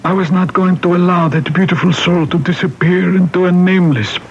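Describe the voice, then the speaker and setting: Hissy, muffled vintage radio. parish priest, earnest and compassionate, fairly high male voice, measured delivery. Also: fairly even intonation. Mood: earnest, solemn. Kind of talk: 1970s radio drama acting.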